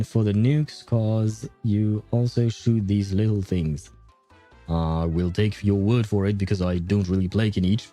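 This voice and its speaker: A man's voice: on the low side, dark tone. A man livestreaming a game in casual chat, rambling and hesitant as he thinks aloud. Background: game music.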